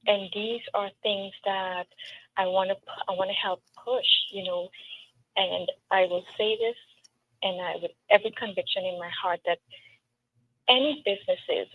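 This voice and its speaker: A woman's voice: medium pitch, moderate pace. Trinidadian woman, earnest and emphatic, tinny phone-line sound with a bit of hiss. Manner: earnest, emphatic, with storytelling asides. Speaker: political candidate. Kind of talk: interview answers.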